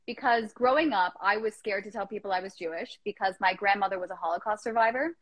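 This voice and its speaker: A woman's voice: mid-range voice, quick pace. A woman hosting a casual live-stream chat, rambling and joking about herself. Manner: chatty, rambling, self-deprecating jokes.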